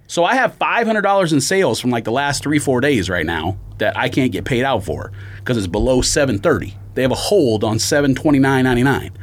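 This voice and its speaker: A man telling a story as a casual podcast rant, slangy and exasperated, acting out both sides of exchanges. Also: medium pitch. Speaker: sneaker podcast co-host.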